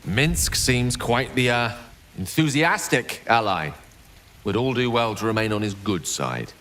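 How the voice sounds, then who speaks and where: An actor playing a wry, courtly, measured gentleman adventurer in a fantasy game. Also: medium pitch, expressive, slight background noise.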